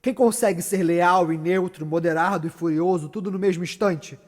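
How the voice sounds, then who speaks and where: A Brazilian man playing a tormented, guilt-ridden, ambitious murderer in a solemn, declamatory Shakespearean stage monologue. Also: sits high. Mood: impassioned, indignant. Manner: declamatory, solemn, dramatic pauses. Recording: echoey hall with long reverb.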